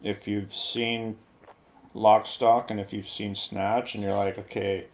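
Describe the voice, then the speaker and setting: A man giving his opinion in a casual film review chat, hesitant and measured as he weighs his verdict. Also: lowish voice, level tone. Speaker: guest movie reviewer.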